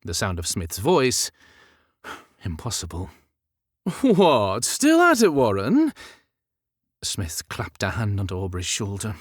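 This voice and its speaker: A man's voice: medium pitch, dry recording, clean studio sound. A man reading an audiobook as scripted literary narration.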